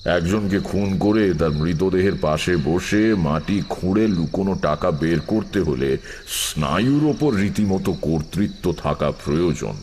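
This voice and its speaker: Deep, slow male horror narrator. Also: animated, a little mellow. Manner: grave, deliberate, suspenseful. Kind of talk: scripted horror story narration. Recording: long reverb, faint night-insect noise.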